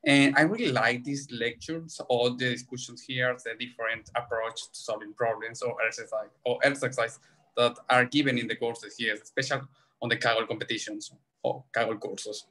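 A man's voice: medium pitch, steady tone, moderate pace, bright, forceful tone, low-quality recording. A man from Peru, a university coordinator speaking friendly, careful English over a video call. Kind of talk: casual chat.

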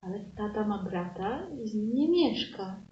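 A woman's voice: mid-range voice, lively intonation, moderate pace, a little mellow, recorded from a distance, lightly acted. A woman playing a curious, naive country girl, questioning and plain-spoken, in an improvised audio play. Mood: wondering. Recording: roomy, dull, noisy live stage recording.

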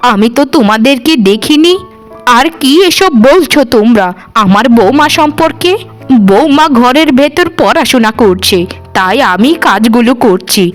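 A woman voicing a stern, protective older village mother-in-law in a cartoon, scolding and indignant. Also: high voice. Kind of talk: cartoon voice acting.

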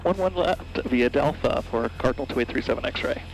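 Creaky American man on aviation radio. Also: mid-range voice, a little fast, rough recording. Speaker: private pilot. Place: small airplane cockpit. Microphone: aviation headset boom mic. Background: music, aircraft.

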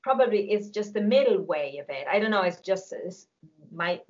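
Italian lady in her 40s, accented english, on a muffly video call, talks slow and thoughtful. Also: mid-range voice, lively intonation, a little mellow. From Italy. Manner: thoughtful, explains carefully with some hesitation. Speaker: singing teacher. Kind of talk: casual video call discussion. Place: home music room with drums. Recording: dull lo-fi call audio.

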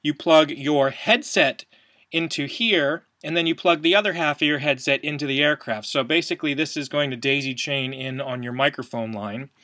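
Bright-voiced man giving a how-to. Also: a little high, normal speed, dry recording. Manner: plain-spoken, explanatory, step-by-step. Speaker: podcast host, product demonstrator. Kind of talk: casual instructional video demonstration. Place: small wood-panelled room at home, indoors.

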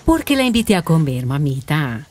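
A woman's voice: low voice, sing-song, normal speed, a little mellow. A woman playing a worried, humble mother in her late thirties in a radio drama, tender and hesitant. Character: worried, humble 38-year-old widowed mother.

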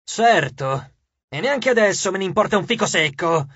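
A man voicing a scornful, sharp-toothed ghost suitor with a mocking, domineering manner. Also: high-pitched, expressive, normal speed, husky, no echo, lo-fi sound, exaggerated voice.

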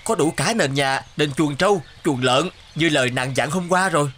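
A man's voice: fairly high pitch, moderate pace, a bit bright, a little hiss or hum, lightly acted. A man playing a kind, humble, poor young villager in a cartoon folktale, speaking earnestly. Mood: puzzled, earnest. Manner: earnest, self-effacing. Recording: music behind the voice.

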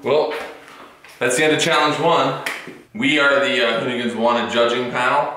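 Bright-voiced man in an echoey room. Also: medium pitch, flat delivery, normal speed, a little hiss or hum. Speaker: judging panel member. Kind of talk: casual on-camera explanation. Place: small room with wooden walls.

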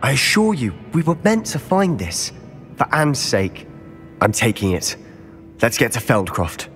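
A headstrong young wizard. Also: male voice, medium pitch, big pitch swings, unhurried, fairly bright tone. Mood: determined. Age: teens. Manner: insistent, defensive, emphatic. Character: defiant teenage wizard boy, headstrong and proud. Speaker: game character. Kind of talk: video game cutscene acting. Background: music.

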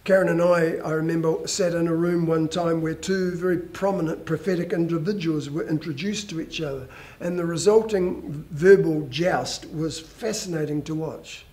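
An older man, a pastor, preaching a measured, earnest sermon on a church stage through a headset mic. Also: on the high side, a little mellow. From New Zealand.

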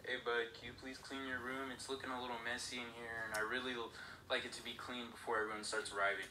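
A man's voice: medium pitch, level tone, fast talker. A man acting out a comedy sketch, switching between a patient dad and a lazy kid. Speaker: short comedy sketch creator. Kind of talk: acted comedy skit. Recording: echoey room with noise, played from a phone clip.

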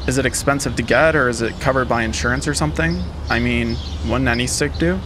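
An actor playing a blunt, nosy stranger in a contemporary radio drama, casual and prying. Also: male voice, medium pitch, a little fast, close to an everyday voice. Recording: loud outdoor street noise behind.

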